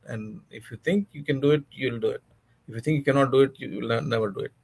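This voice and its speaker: A man's voice: mid-range voice, quick pace, gravelly, darkish tone, slightly rough. Earnest male author from India, native Hindi speaker. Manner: earnest, with many restarts and fillers. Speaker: author and guest. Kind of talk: interview conversation.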